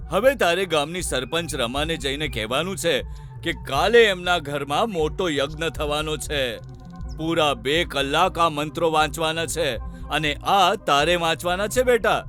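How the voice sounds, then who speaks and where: A man's voice: a little high, fairly bright tone, faint music behind. Sly fake holy man played by a man. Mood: falsely pious. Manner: drawn-out gloating phrases, chuckles, feigned piety. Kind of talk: Gujarati children's cartoon voice acting.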